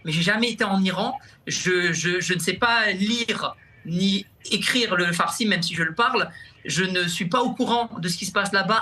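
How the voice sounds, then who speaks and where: A young man with a high and very bright, forceful voice talks in a TV studio. He chats casually as a comedian guest, often stumbling and repeating words before he finds them. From France. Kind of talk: casual talk-show chat.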